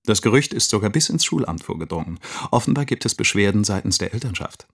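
A concerned man from a school staff in a radio play. He has a deep voice and narrates his own account like a wry witness. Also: expressive, fast. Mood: indignant, wry. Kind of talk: narrated dramatic monologue.

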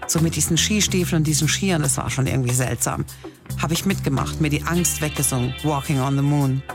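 A woman presenting a radio music feature, telling personal stories in a lively, chatty way. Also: deep voice, a little fast. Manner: lively storytelling, chatty asides. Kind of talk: personal scripted narration.

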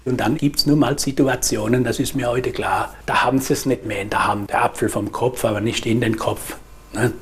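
A man in his sixties from the Palatinate, giving reflective interview testimony in a halting, earnest way. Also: mid-range voice, fairly even intonation, slightly rough. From Palatinate, Germany. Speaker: interviewee, former gang leader.

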